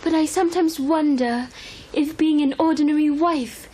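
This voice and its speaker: A woman's voice: high-pitched, normal speed, warm tone, close to an everyday voice. A woman voicing a wealthy, discontented courtesan in a cartoon, speaking earnestly and formally as she seeks freedom. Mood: melancholy. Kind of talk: cartoon voice acting.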